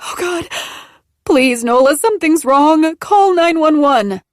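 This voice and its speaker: A woman's voice: high voice, animated, slow, no room sound, clean studio sound, pitched above the performer's own voice. A woman reader voicing a terrified pregnant woman in labor, desperately begging for help. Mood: panicked.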